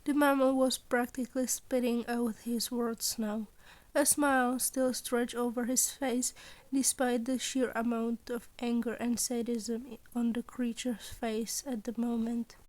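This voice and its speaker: A woman's voice: fairly high pitch, level tone, a little slow, a little mellow, dry recording. A woman reading scripted fan fiction aloud as the narrator of an audio story. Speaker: podfic narrator.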